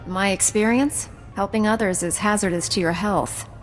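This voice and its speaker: A composed young knightly heroine played by an actress in a space adventure game. She speaks at a medium pitch, measured and dryly teasing. Her voice carries a long reverb, and music plays underneath. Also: close to an everyday voice. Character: composed, wryly teasing female Jedi Knight hero. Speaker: video game player character. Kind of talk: video game voice acting.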